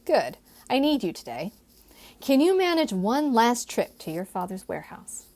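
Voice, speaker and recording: Lady doing a confident teasing gent in an old-timey romance audiobook, persuasive, lively pitch. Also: moderate pace. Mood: brisk, confident. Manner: persuasive, playful, formal phrasing. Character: confident, teasing, scheming young gentleman in historical romance.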